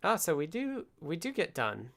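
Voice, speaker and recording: A man hosting a casual live coding stream, talking haltingly and half muttering to himself as he works. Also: a little high, huge pitch swings, creaky, fairly bright tone. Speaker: livestream host, programmer. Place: indoors at a desk with computer.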